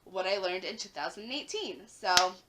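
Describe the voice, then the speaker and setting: A young woman vlogging casually to her camera at home, her voice raspy and a little hoarse after the flu, chatting with plenty of "like" fillers. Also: medium pitch, lively intonation, normal speed, heavy background noise. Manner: chatty, lots of "like" fillers, rising emphasis.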